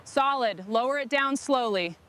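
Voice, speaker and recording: A woman's voice: high-pitched, normal speed, noisy background, close to an everyday voice. A woman playing a focused restoration crew boss, giving short orders in acted workshop dialogue. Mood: focused, instructing. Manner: short, instructing phrases. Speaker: crew leader in a truck restoration.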